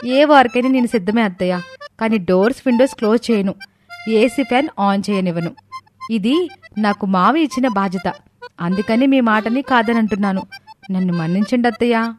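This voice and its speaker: A woman's voice: on the high side, normal speed, soft background music, natural voice. A woman voicing a dutiful, thrifty young daughter-in-law in a dramatized moral story, arguing earnestly and respectfully. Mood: pleading, defiant. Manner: earnest, argumentative, explaining.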